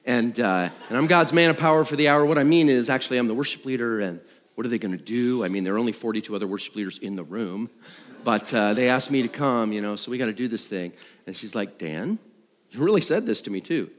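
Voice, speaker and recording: A man tells a personal testimony to a congregation in a conversational, self-deprecating way, acting out dialogue and thoughts like an animated storyteller. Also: mid-range voice, fast. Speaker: worship leader. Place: large room, speaking to an audience. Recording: live hall with long reverb.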